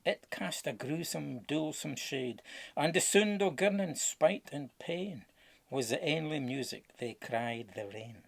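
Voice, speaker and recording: A man with a Scottish accent narrating a rhyming storybook in Scots with a theatrical, expressive delivery. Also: a little high, a little slow, creaky. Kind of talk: dramatic rhyming verse storytelling.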